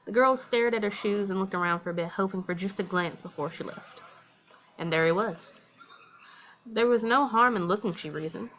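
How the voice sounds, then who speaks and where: A woman's voice: mid-range voice, normal speed, a bit bright, dull audio. An American woman reading a visual novel aloud and commenting casually as a let's play host. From Texas, United States.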